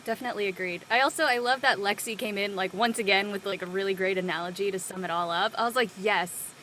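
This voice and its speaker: A woman's voice: mid-range voice, fast talker, sharp tone, slight background noise. A woman panelist chatting casually after a show, enthusiastic, effusive and slangy. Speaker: cast member panelist. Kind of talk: casual post-show discussion.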